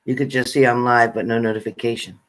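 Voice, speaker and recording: A man's voice: mid-range voice, level tone, fast, a bit bright. A man hosting a livestream, chatting casually and informally with viewers as he goes. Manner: informal, addresses viewers by name. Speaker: livestream host. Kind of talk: casual live chat with viewers.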